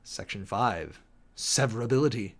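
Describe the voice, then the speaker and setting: A man giving a dramatic reading and close commentary in a formal, deliberate, sermon-like manner with emphatic pauses. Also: fairly low pitch, lively intonation. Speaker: preacher and commentator hosting a show.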